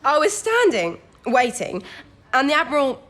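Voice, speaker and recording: An actress playing an eager, mischievous nineteen-year-old British codebreaker in a period audio drama, polite, earnest and excitable. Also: female voice, sits high, moderate pace. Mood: playful, excited. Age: young adult. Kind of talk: period radio drama acting.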